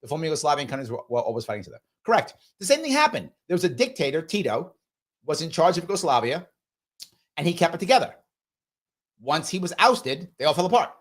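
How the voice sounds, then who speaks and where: A man's voice: on the high side, very animated, fast talker. Emphatic talk show host man. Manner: emphatic, conversational, repeats himself, frequent "right?". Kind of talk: live discussion, reading viewer comments.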